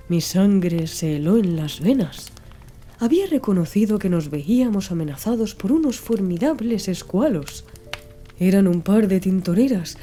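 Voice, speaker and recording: Woman, audiobook narrator, very warm relaxed timbre, mid pitch, measured literary delivery, music in the background. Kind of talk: scripted literary audiobook narration, first-person. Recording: music behind the voice.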